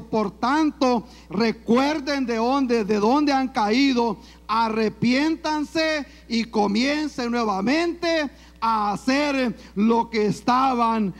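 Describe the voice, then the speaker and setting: A man with a Salvadoran accent preaching a sermon in church, punctuating his points with frequent amens and calls to the congregation. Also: very high voice. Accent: Salvadoran Spanish. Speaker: pastor.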